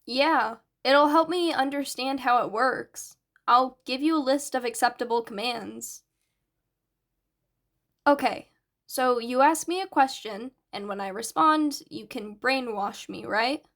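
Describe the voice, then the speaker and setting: An eager, bright teenage boy, performed by a woman in an audio story. His voice sits fairly high, and he explains things in an earnest, analytical way. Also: measured pace. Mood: matter-of-fact. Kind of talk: audiobook character dialogue.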